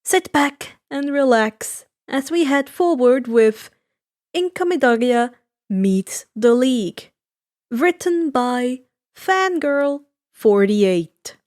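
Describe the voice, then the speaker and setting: Female storyteller for fan fiction narration: high voice, slow, measured delivery. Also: lively intonation, a little mellow.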